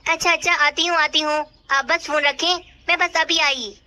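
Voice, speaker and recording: A woman's voice: high voice, steady tone, normal speed, sharp tone. A woman voicing a trusting, obliging female little bird in a children's cartoon. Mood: helpful. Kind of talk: Hindi children's cartoon dialogue. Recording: birdsong noise behind.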